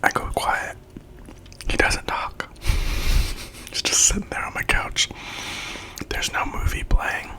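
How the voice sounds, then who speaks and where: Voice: slow pace, long pauses. A speaker whispering a slangy, chatty storytime in ASMR style while eating, acting out quoted lines along the way.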